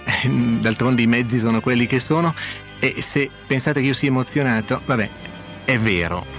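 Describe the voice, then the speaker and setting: An Italian man with an Italian accent hosting a live radio interview in a halting, polite way. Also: mid-range voice. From Italy. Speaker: radio host. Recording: orchestral music under radio talk. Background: orchestral music.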